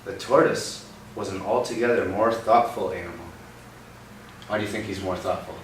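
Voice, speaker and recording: A young man reading a picture book aloud to a child, pausing often to ask gentle questions about the words. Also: lowish voice. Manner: expressive storybook reading broken by gentle teaching questions. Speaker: read-aloud host. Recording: roomy, distant mic with background noise.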